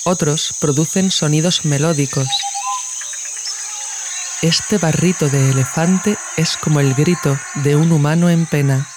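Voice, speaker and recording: A woman narrates a scripted essay as podcast host in a measured, literary way. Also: low voice, steady tone, noisy background.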